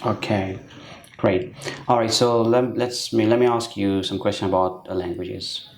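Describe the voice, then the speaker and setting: A woman's voice: extremely low pitch, fairly even intonation, measured pace, darkish tone. A woman acting as a speaking examiner, asking brief questions and murmuring backchannels while the candidate answers. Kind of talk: mock exam questioning. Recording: echoey room with faint noise.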